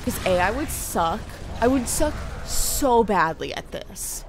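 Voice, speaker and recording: A woman's voice: medium pitch, animated, slow, background noise. A young woman giving casual, self-deprecating reaction commentary on a video game, chatting as she watches. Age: young adult. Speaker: gaming reaction host. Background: game cutscene music and sounds.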